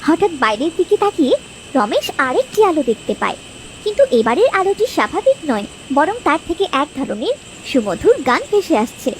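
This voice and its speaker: A woman's voice: high voice, very animated, brisk, slight background noise. A woman narrator reading scripted storytelling narration for a children's cartoon.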